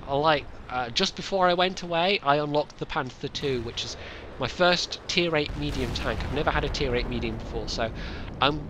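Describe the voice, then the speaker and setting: A man's voice: a little high, noisy background. A man in his late twenties with a light Scottish accent, chatting casually as he answers viewer questions in a rambling, self-mocking way. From Scotland, west coast. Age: late 20s. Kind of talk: casual Q&A chat.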